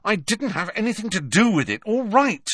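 A sulky, defiant teenage boy, as a man reads him, pitched well up and loud. His voice is bright and the recording is dry and close. He keeps pushing back with a sharp edge. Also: big pitch swings, moderate pace, breathy edge, no echo, heavily acted.

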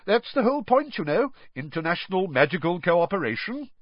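Pompous young bureaucrat, prim and self-important, pitched up, as a man reads him; lo-fi. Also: big pitch swings, brisk, dry recording. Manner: pompous and fussy. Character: pompous, fussy young male official.

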